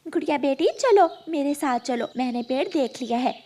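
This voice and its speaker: Caring mama bird in a cartoon, high lively voice, woman doing it. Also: moderate pace, slight background noise. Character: caring mother bird, female sparrow.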